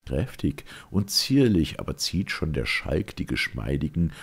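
Man reading sceptical chorus maiden, low husky voice. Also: very animated, a little slow, full character voice. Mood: lofty, scornful. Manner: declamatory. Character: chorus of young maidens, curious, bored and sceptical. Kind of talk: dramatic reading of German verse drama.

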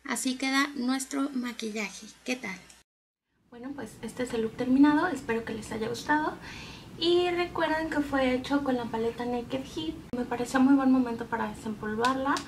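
A woman talks through a makeup tutorial. She is chatty and instructive in a casual vlog style. She was recorded at home in a bedroom, with clear background noise. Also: sits high, normal speed. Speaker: beauty vlogger.

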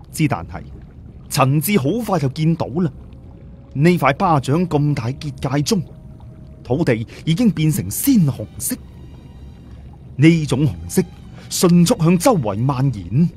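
A man's voice: fairly high pitch, a little mellow, slight background noise. A man narrating a serialized story in a scripted storytelling style, with colloquial asides. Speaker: audiobook narrator.

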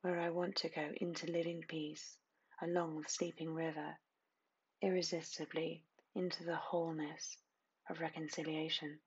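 A woman narrating a scripted guided meditation in a soothing, hushed, hypnotic manner, lingering on repeated phrases with long pauses. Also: deep voice, flat delivery, breathy edge, highs cut off.

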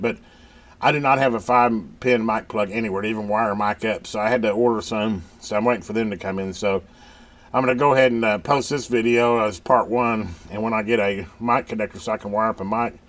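A man talking casually and haltingly in a folksy way, thinking aloud as he hosts a hands-on repair video at an indoor workbench. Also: on the low side, a bit bright. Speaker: host of a radio repair channel.

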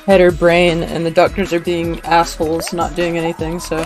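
A woman's voice: fairly low pitch, monotone, moderate pace, music in the background, low-quality recording. A woman chatting casually while she streams a game. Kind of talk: casual chat while gaming.

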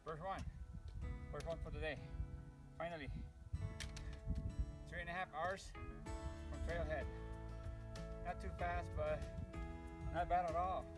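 A man in his thirties filming himself on a mountain hike, talking in a casual, unhurried way with many fillers and restarts, recorded on a phone held at arm's length outdoors. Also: on the high side, steady tone, over loud music. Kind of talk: casual hiking vlog narration.

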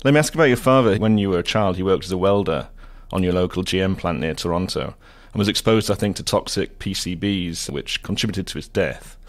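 Gravelly deep-voiced male interviewer. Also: a little fast. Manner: earnest, lays out long detailed questions. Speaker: interviewer, associate editor. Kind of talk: interview questions.

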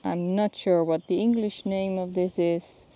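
A Dutch woman with a slightly low, mellow voice chats her way through a garden vlog. She talks slowly and stops to hunt for words as she thinks aloud. Her phone picks her up outdoors in the garden. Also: fairly even intonation. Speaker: gardening vlogger.

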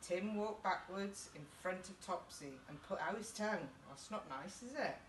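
A woman's voice: medium pitch, animated, moderate pace. British woman doing story time for little kids, echoey room, mic a bit far off. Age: middle-aged. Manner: child-directed, asking listeners questions. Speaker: story time host reading to children. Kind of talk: children's picture book read-aloud. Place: small indoor youth group playroom. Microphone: camera a couple of metres away. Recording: echoey room, distant mic, faint background noise.

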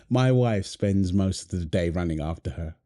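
A man playing a playful, doting young husband and father in a soft, tender ASMR roleplay, teasing as he talks. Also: low voice, unhurried. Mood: polite, friendly. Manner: teasing, tender, chuckles often while talking. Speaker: audio roleplay performer. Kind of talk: ASMR boyfriend roleplay, one-sided dialogue.

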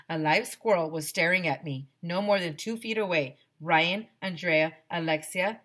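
Low-pitched woman reading aloud. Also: fairly bright tone. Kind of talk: expressive children's storybook read-aloud. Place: small decorated room indoors. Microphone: headset.

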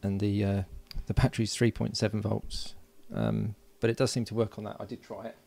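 A man giving casual hands-on commentary as an electronics hobbyist, informal and halting as he thinks aloud while working. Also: on the low side, little pitch movement, creaky, mellow. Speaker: electronics hobbyist presenting a build. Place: indoors at a workbench.

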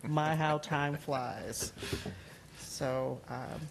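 Halting councilwoman with a desk mic. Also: extremely low pitch, gravelly, noisy background. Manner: halting, many ums and uhs. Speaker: city council member. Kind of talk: formal meeting announcements. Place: council chamber. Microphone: desk gooseneck mic.

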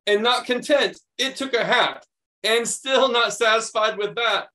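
A middle-aged American man reads a picture book aloud to young children, animated and expressive, adding chatty explanations. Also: extremely high pitch, a little slow. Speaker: children's read-aloud host.

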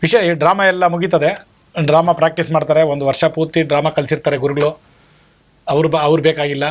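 A man's voice: on the high side, little pitch movement, brisk. A man chatting casually as a stage artist, in a slightly noisy recording. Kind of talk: casual chat.

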